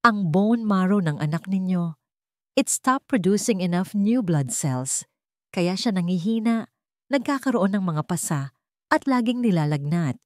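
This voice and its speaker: A woman's voice: mid-range voice, lively intonation, a little slow, darkish tone, no room sound, clean studio sound, lightly acted. A woman voicing a grave, sympathetic doctor. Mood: sympathetic, explanatory. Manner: professional, mixing English medical terms into speech. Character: grave, sympathetic doctor breaking bad news.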